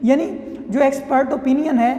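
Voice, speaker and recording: A man's voice: very high voice, fairly even intonation, normal speed, warm tone. A man giving a casual, explanatory law lecture, often checking in with his listeners. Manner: conversational, keeps checking in with "theek hai". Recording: echoey room with long reverb.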